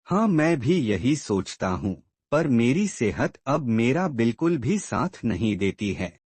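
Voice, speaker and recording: A man playing an ailing, gentle old father in a cartoon moral story, earnest and emotive. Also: mid-range voice, sing-song, slow, no echo. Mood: reflective.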